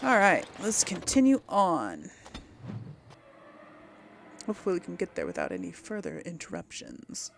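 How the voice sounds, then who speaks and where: A woman's voice: on the low side, wildly swooping pitch, moderate pace, vocal fry, long pauses, a little hiss or hum. A woman giving casual game commentary, musing aloud as she plays and role-plays dialogue. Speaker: gamer, series host.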